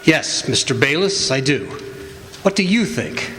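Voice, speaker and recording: A man's voice: fairly high pitch, animated, unhurried, fairly bright tone, a little hiss or hum. A man playing an earnest crusading newsman, direct and emphatic, in an old-time radio drama. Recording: echoey hall stage recording.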